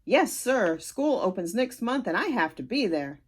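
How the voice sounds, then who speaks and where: A woman's voice: medium pitch, sing-song, unhurried, husky. A woman voicing a polite, proud and eager American boy in a storybook reading. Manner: respectful, earnest.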